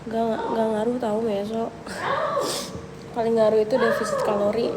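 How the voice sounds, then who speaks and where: A young woman with a cold, chatting casually with viewers on a livestream, relaxed and thinking aloud. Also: fairly high pitch, moderate pace. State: has a cold, coughing. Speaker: livestream host answering viewers. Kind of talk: casual live chat reading viewer questions. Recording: noisy livestream audio.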